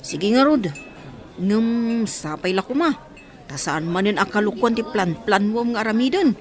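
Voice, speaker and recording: A man's voice: high voice, animated, normal speed. A man with an Ilocano accent acting a questioning, wary companion in an audio drama. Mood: questioning, doubtful. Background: faint music.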